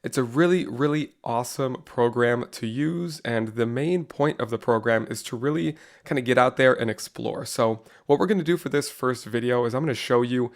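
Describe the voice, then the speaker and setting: This is a man fighting a cold, and his voice has gone a little raspy. He gives a relaxed, friendly screen-recorded walkthrough for teachers, using plenty of easy fillers. His pitch sits in a middle range. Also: moderate pace, few pauses. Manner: casual, friendly walkthrough with frequent "go ahead" and fillers. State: under the weather, slightly raspy voice. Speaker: online course instructor. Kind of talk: screen-recorded software tutorial.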